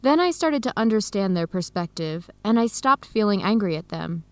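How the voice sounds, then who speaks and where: A woman's voice: mid-range voice, very animated, normal speed, dry recording, lightly acted. A woman acting an earnest young adoptee in a radio drama, speaking openly with an American accent. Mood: reflective.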